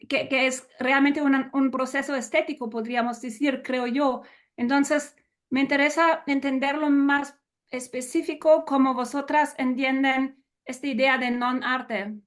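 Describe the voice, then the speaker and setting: A woman whose first language is not Spanish asks a long, hesitant question in a discussion, searching for words and slipping into English. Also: high voice. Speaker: audience member asking a question.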